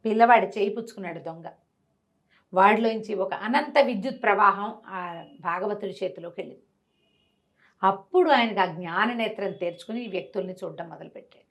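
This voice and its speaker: A woman storyteller telling a devotional tale in an animated way, acting out the characters' voices as she goes. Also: mid-range voice, brisk, pauses often.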